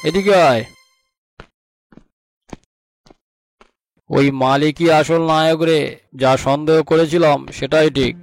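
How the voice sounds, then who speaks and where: A man voicing a cartoon detective officer who questions and gives orders with calm authority. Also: on the high side, lightly acted. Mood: commanding. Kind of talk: cartoon voice acting in Bengali.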